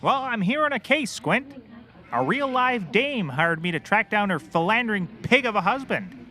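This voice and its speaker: An actor playing a clueless, eager private detective in a scripted audio comedy, excitable, earnest and oblivious. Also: male voice, sits high, normal speed, full character voice.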